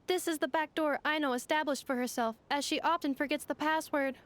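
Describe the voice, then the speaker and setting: A woman voicing a calm, logical android helper woman who speaks in clipped, formal, machine-like reports in a video game. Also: high voice, brisk, noisy background, natural voice. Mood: steady.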